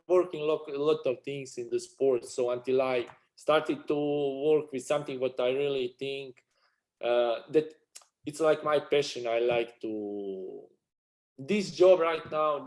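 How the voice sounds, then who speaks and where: A man's voice: mid-range voice, darkish tone, rough recording. A man from Serbia with a Serbian accent, a sports agency owner speaking non-native English in a casual interview, full of restarts and fillers.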